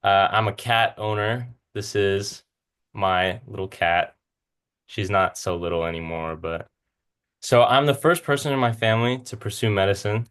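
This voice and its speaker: Low-pitched young Californian man, casual presenter. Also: normal speed, a bit bright, clean tone. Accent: Californian American. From Southern California, United States. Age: 20s. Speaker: student speaker, medical school applicant. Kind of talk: informal slide presentation.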